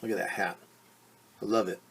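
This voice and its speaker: A man teacher reading a picture book aloud to children in an expressive, deliberate way, with wondering asides. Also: fairly low pitch, vocal fry, husky. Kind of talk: storytime read-aloud with commentary for children.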